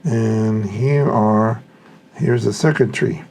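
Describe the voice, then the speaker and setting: A man's voice: fairly low pitch, fairly even intonation, takes it slowly, a little mellow. A man thinking aloud in a casual, unscripted, tentative way as he reviews a product. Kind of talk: casual unscripted unboxing commentary.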